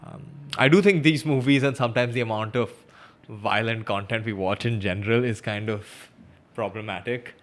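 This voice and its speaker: A man's voice: lowish voice, normal speed. A man in a casual podcast conversation, thinking aloud as host in a reflective, conversational way. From India.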